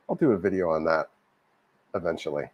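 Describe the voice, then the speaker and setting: A man hosting a casual livestream, a little hoarse from a cold, rambling and repeating himself as he answers viewer comments. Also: sits low, expressive, moderate pace, muffled recording. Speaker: livestream host. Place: home in Cebu, Philippines.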